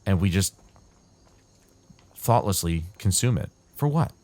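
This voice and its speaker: A man casually rambling while playing a game, his throat dry and tired, with a calm, soothing way of talking. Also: deep voice, measured pace, no echo. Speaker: gaming channel host. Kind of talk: casual rambling commentary over gameplay.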